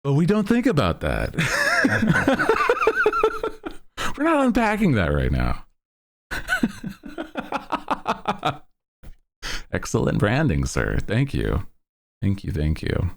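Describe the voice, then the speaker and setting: Male livestream host, low-pitched and unhurried, loose self-deprecating chat with highly animated intonation. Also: vocal fry, slight background noise. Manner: rambling, self-deprecating, jokey. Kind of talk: casual chat with stream viewers.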